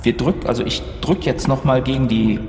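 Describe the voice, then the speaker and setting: A man's voice: mid-range voice, moderate pace, a little mellow, faint music behind. A man improvising as a player in a tabletop role-playing game, thinking aloud hesitantly. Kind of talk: improvised in-character tabletop play. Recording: echoey, reverberant, faint background noise.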